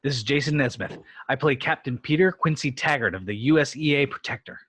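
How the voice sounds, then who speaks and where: Bright, husky man playing earnest space captain. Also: mid-range voice, a little fast, dry recording. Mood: earnest, urgent. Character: male TV actor who plays a starship captain, urgent, earnest. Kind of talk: script table read.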